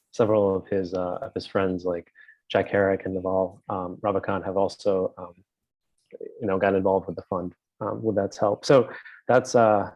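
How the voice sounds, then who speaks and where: A man's voice: on the low side, darkish tone, no room sound. A man hosting an interview, introducing his guest hesitantly with many fillers. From New Zealand. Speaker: interview host. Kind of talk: casual interview. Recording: dry, dull lo-fi audio.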